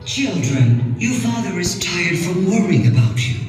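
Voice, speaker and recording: A man's voice: high voice, very animated, unhurried, pitched above the performer's own voice. A man voicing a cold, scheming fairytale stepmother with theatrical false sweetness, in storybook style. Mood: falsely coaxing. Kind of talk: storybook character voice. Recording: echoey, distant playback with music behind.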